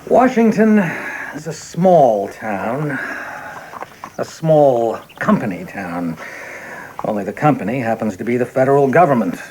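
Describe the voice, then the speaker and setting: A middle-aged American government boss in a radio play. He is avuncular yet grave, questioning with hesitant openings that build to emphatic pronouncements. The room rings around him, with clear background noise. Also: medium pitch, very animated, a little slow, mellow, reverberant, rough recording. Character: avuncular but grave senior government official, questioning a recruit. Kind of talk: radio drama acting.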